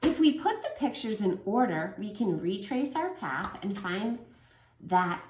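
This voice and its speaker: A woman reading a calm grown man's lines from a children's storybook. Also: mid-range voice, unhurried, reverberant, slight background noise. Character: calm grown man explaining to a monkey.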